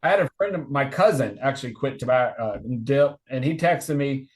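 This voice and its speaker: A man's voice: medium pitch, lively intonation, fairly quick. Earnest, rambling man in his forties. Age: 40s. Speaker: podcast guest. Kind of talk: casual conversation on a video call. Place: home, remote video call. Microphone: video call microphone.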